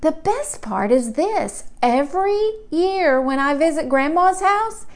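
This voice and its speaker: A woman voicing a curious, literal-minded small boy in a children's storybook, musing in a puzzled, deliberate, childlike way. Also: high voice, full character voice. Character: curious, literal-minded small boy narrating about his great-uncle.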